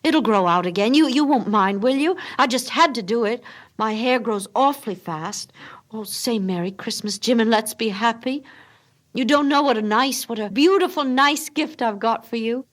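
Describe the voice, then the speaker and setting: A woman's voice: on the high side, moderate pace, voice pushed up, close to an everyday voice. A woman acts out a young wife from a storybook, gushing and breathless. She pleads lovingly, leaning hard on her words, her voice lifted and eager. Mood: eager, excited. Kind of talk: dramatic storybook reading.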